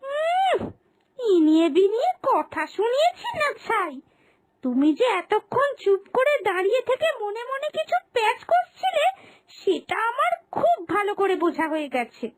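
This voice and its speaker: A woman voicing a feisty, scheming younger daughter-in-law in a children's cartoon, taunting and expressive. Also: very high-pitched, normal speed, dry recording, poor audio. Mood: indignant. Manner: taunting, sharp-tongued, expressive. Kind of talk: Bengali moral-story cartoon dubbing.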